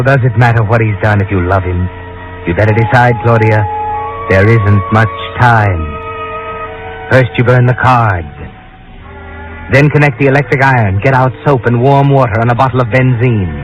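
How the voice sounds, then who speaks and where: A man's voice: mid-range voice, normal speed, close to an everyday voice. Man as a sardonic, muffled old-radio suspense narrator. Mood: insinuating, taunting. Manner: taunting, insinuating, addressing the listener as "you". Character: sardonic unseen narrator goading a guilty young wife. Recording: muffled 1940s radio recording with music behind.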